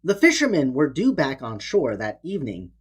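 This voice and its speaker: Female storybook narrator, hugely varied pitch, unhurried, slightly husky, dramatic. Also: wildly swooping pitch. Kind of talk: expressive children's read-aloud narration.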